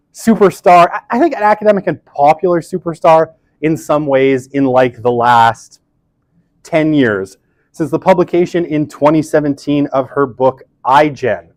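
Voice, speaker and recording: A man's voice: medium pitch, no room sound. Male university lecturer, casual, chatty, lots of um and you know, podium mic, dry sound. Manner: chatty, self-deprecating, many fillers. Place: old university lecture room. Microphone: podium microphone, weak pickup.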